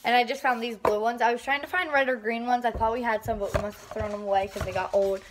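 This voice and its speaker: A woman's voice: fairly high pitch, steady tone, fast talker, noisy background. A young woman rambling casually and mocking herself while baking in her home kitchen. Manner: chatty, self-mocking, rambling. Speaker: vlogger. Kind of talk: casual vlog narration.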